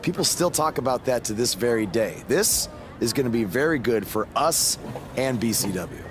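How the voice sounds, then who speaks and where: An actor playing a cocky, scheming indie wrestler in a video game cutscene, with an eager, persuasive delivery. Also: male voice, medium pitch, lively intonation, moderate pace, background noise, close to an everyday voice. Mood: confident.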